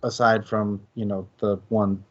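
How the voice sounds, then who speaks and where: Male co-host, low register, conversational and halting, self-correcting, slightly muffled lo-fi sound. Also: level tone. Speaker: co-host of a book discussion stream. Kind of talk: casual discussion.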